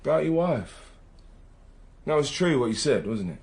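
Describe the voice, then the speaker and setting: A man's voice: medium pitch, very animated, moderate pace. A man, an actor playing an easygoing, pragmatic junior detective in a radio drama, casual, wry and matter-of-fact. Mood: wry, conceding. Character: easygoing, pragmatic male junior detective, mildly exasperated partner. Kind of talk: naturalistic radio drama acting.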